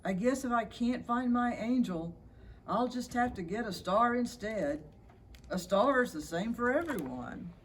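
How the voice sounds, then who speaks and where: A woman's voice: on the high side, animated, unhurried, slight background noise, voice pushed up. A woman reading a storybook as a curious, persistent young boy with an earnest, questioning manner. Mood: glum.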